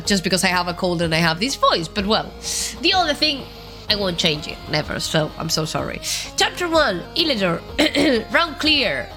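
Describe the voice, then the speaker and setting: Slow-talking woman with a cold. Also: on the low side, bright, forceful tone. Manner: chatty, thinks aloud while playing, hums along. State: has a cold. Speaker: gaming video host. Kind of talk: casual let's-play commentary. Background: game music.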